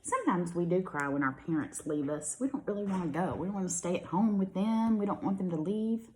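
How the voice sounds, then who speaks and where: An American woman reads aloud as a kindergarten teacher to small children. Her pitch moves up and down a great deal, and she is expressive, pausing to explain things in simple words. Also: slight background noise. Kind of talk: animated read-aloud to young children.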